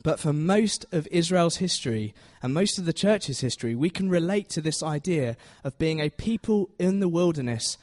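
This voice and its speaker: A man's voice: a little high, darkish tone. A man giving an informal, conversational teaching sermon, self-deprecating and often checking in with his listeners. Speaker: preacher giving a Bible talk to a congregation.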